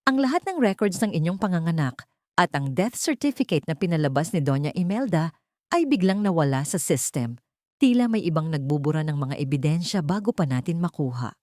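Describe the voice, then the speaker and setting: A woman's voice: sits low, lively intonation, fairly quick, mellow, no echo, studio quality. A woman voicing a serious, respectful private investigator in an audio drama. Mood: grave. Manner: serious, deferential. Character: serious, deferential private investigator reporting bad news.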